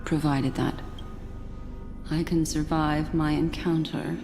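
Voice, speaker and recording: An actress playing a composed, enigmatic female investigator in a video game, speaking in a measured, solemn and formal way. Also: sits low, little pitch movement. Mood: calm, solemn. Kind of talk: dramatic video game acting. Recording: reverberant hall, music behind.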